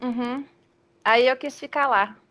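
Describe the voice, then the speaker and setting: A woman's voice: a little high, fairly even intonation, a little slow, a bit bright. A woman, playing a character in a tabletop game and thinking aloud hesitantly, laughing often. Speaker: player. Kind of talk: casual tabletop play.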